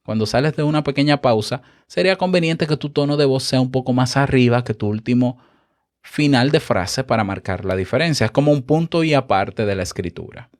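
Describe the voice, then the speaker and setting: A man with a Dominican Spanish accent talks straight to his listeners, teaching podcasting tips in a relaxed, chatty monologue. His voice sits at an ordinary middle pitch, and he keeps checking in with short tag questions as he moves from one tip to the next. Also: brisk. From Dominican Republic. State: a bit out of breath. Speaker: podcast host.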